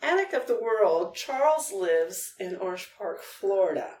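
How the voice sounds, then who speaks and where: A woman's voice: medium pitch, big pitch swings, measured pace, darkish tone. A woman hosting a conversational podcast interview, asking questions. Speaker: guest host, interviewer. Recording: roomy echo, far from microphone.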